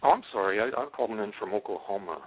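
A man calling in to a show by telephone, chatting casually and reflectively in halting phrases. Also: lowish voice, expressive, breathy edge. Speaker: caller. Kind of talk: casual, reflective phone chat. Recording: muffled narrow telephone line.

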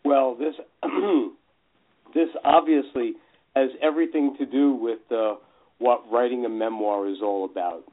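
A man's voice: mid-range voice, a little slow. A man with a New Jersey accent telling his story as an interview guest, often circling back on his phrases. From northern New Jersey, US. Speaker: guest author. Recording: dull lo-fi radio call.

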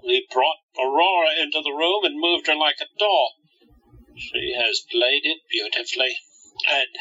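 A dull recording of a man acting an eager undercover time traveler in a radio play. The voice is very sharp and fairly high, swinging expressively at an unhurried pace. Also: breathy edge, heavily acted. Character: eager time-traveler spy, flamboyant and unbothered. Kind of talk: amateur audio drama acting.

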